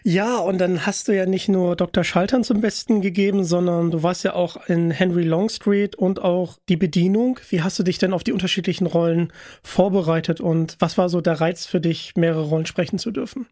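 A man's voice: sits high, fairly even intonation, brisk, warm tone, no echo. A man hosting an interview in a studio, putting prepared questions in a friendly, conversational way. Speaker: interviewer, podcast host. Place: studio, close dry sound.

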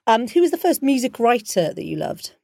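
A woman's voice: on the high side, sing-song, brisk, creaky, darkish tone, slightly rough, no echo, studio quality. A woman podcast host asking questions and reacting with short affirmations.

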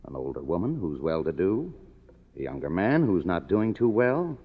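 A man's voice: low-pitched, sing-song, moderate pace, slightly rough, exaggerated voice. An actor playing a cynical, sardonic younger man, a struggling writer, in 1950s radio drama style. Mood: bitter sarcasm. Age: young adult. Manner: sardonic, hard-boiled. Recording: muffled old radio recording, hiss, echoey hall.

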